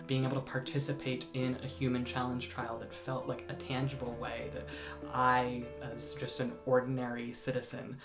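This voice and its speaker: Young man from Nova Scotia, flat delivery, reflective, roomy sound over guitar. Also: mid-range voice, normal speed. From Nova Scotia, Canada. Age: 20s. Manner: reflective, searching for words. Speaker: trial volunteer. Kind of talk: recorded personal statement. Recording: roomy with guitar music bed.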